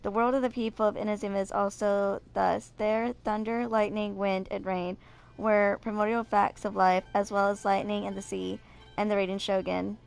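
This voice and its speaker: Clear-voiced young woman hosting a gaming video. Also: medium pitch, little pitch movement, normal speed, faint music behind, low-quality recording. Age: 20s. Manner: stumbles and corrects herself when reading. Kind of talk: casual commentary and reading game lore aloud.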